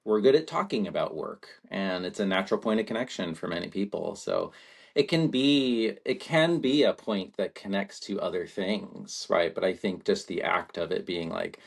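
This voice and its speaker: A very low-voiced man in his forties speaks with a gravelly fry. He is a guest from Latvia who muses aloud, circling back on his thoughts. He often checks in with a quick question as he talks. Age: 40s. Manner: thoughtful, rambling, frequent tag questions. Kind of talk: reflective conversation.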